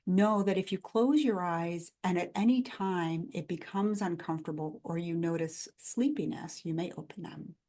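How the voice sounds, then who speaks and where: A woman guiding a body scan meditation in a gentle, calm, instructional manner, in measured phrases with pauses between them. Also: on the low side. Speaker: meditation guide.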